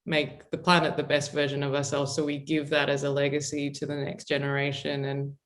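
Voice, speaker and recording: A woman's voice: low-pitched, monotone, brisk. Encouraging female interview host. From Zambia. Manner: warm, encouraging, conversational. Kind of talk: interview conversation.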